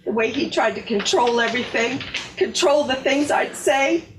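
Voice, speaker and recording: A woman's voice: on the high side, normal speed, over music, natural voice, rough recording. A woman playing a scornful, combative wife airing old grievances in a sardonic, emphatic dramatic monologue reading. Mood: indignant. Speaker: actor in a readers theater performance.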